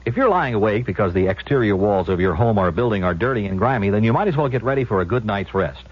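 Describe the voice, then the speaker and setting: A man's voice: on the low side, expressive, fast, a little hiss or hum. A man working as a commercial announcer, reading a scripted 1970s radio advertisement. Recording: muffled 1970s radio broadcast.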